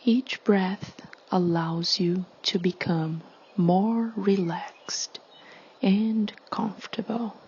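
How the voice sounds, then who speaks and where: A woman leading a guided meditation in a soothing, hushed, breathy delivery with long pauses. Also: mid-range voice, fairly even intonation, highs cut off.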